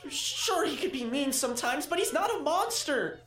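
A woman's voice: high voice, sing-song, moderate pace, far from the mic. A woman acting in an audio drama as a timid, anxious twelve-year-old boy. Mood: upset, doubtful. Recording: roomy, off-mic student audio drama.

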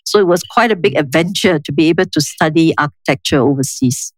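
An older woman with a Singaporean accent, speaking in a measured, reflective, explanatory way as an interview guest. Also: deep voice, no echo, low-quality recording. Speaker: interview guest, urban planning official. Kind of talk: conversational interview, reminiscing.

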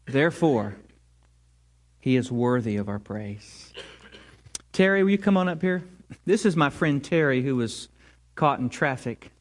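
A man's voice: medium pitch, animated, measured pace, long pauses. A man preaching as pastor, inviting the congregation to read scripture with him and introducing a guest. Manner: inviting, leads scripture reading. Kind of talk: sermon.

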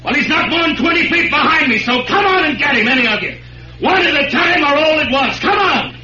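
A stern frontier marshal in a vintage radio western, with a forceful, bright American voice. He speaks in firm, terse commands. The recording is muffled and lightly hissy. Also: extremely high pitch, big pitch swings, a little fast, full character voice. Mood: defiant fury. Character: stern, plain-spoken adult male frontier marshal.

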